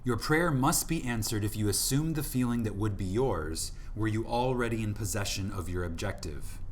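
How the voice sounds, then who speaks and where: A man reading an audiobook from a script in an emphatic, deliberate manner, with dramatic pauses for effect. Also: fairly low pitch, slight background noise. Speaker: audiobook narrator.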